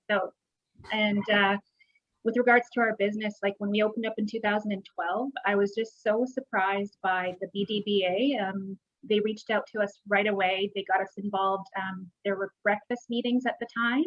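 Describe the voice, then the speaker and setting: Woman from Bosnia, mid-pitched, reflective panelist; dull lo-fi call audio. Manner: warm, reflective, many pauses. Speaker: chiropractor. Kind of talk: casual panel talk. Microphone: video call.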